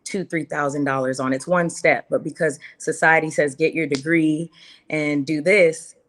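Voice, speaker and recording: A woman in her early thirties with a low voice speaks as a guest on an interview. She tells her own story in a casual, conversational way. She drives points home by repeating phrases and posing rhetorical questions. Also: steady tone, normal speed.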